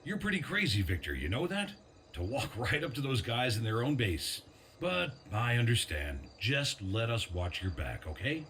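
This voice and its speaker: An actor playing a loyal, steady resistance comrade in a video game, with a reassuring manner. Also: male voice, mid-range voice, normal speed, slight background noise. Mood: admiring concern. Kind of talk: video game cutscene acting.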